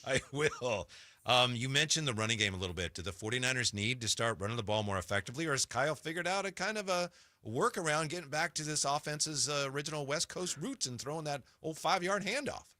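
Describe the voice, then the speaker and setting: Male radio host for casual sports talk. Mid-pitched, crisp bright tone, very dry studio sound. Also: lively intonation, fast talker, no echo.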